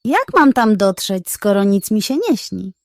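A woman's voice: medium pitch, expressive, measured pace, dry recording, studio quality. A woman voicing a brave, earnest young male kitten, the determined hero of a children's storybook. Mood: worried.

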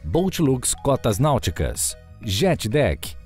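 Lively Brazilian male announcer over music. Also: mid-range voice, slow. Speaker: sponsor announcer. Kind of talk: scripted promotional announcement.

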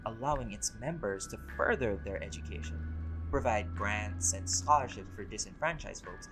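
A man playing a laid-back, centuries-old vampire scholar in an audio roleplay, talking in a relaxed, conversational and wryly playful way. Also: lowish voice, moderate pace, lightly acted, poor audio. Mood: playfully proud. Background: music.

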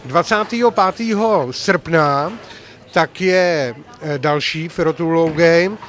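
A man's voice: a little high, fairly bright tone. An older man hosting a bowling tournament, chatting and teasing as he reports and interviews players with a handheld mic in a large bowling alley. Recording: faint hall noise.

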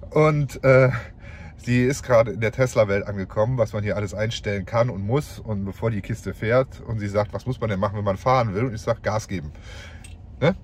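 Chatty man with a cold, low voice. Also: fairly quick, slight background noise. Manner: chatty, excitable, swears casually. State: has a cold. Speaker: vlogger. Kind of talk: casual vlog. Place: living room, then car park and car interior. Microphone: phone camera at arm's length.

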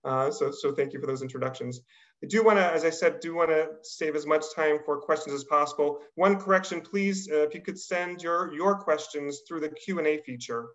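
A middle-aged man hosting an online panel, speaking in a halting, polite, apologetic way over a video call. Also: fairly high pitch, a bit bright. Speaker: admissions dean hosting a panel. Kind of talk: hesitant unscripted welcome on a webinar. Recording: slightly dull video-call audio.

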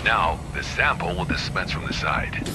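Low-voiced dry-humored game doctor. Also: male voice, animated, moderate pace. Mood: calm, teasing. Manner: instructive, teasing. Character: dry-humored male doctor guiding a survivor remotely. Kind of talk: video game character dialogue. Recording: loud game music and noise behind.

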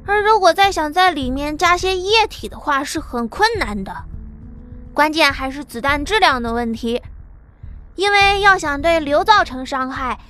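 A woman's voice: high voice, animated, moderate pace, pushed and bright, faint music behind. A woman voicing a brash male workshop boss who eagerly explains his weapon ideas in an audiobook drama. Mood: explaining.

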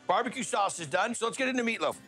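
A man's voice: high voice, lively intonation, very fast, pushed and bright, faint music behind. A man in his fifties hosting a food TV show, upbeat and slangy, joking as he cooks in a restaurant kitchen.

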